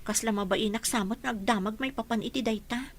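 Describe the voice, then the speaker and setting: A woman's voice: fairly high pitch, fairly quick, slight background noise, close to an everyday voice. An actress playing a nosy young female coworker, chatty and inquisitive in a radio drama. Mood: curious.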